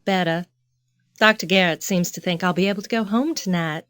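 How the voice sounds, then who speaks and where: A woman's voice: lowish voice, fairly quick, a bit bright, lightly acted. An actress playing a vulnerable, confused woman with amnesia in an audio drama. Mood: subdued, questioning.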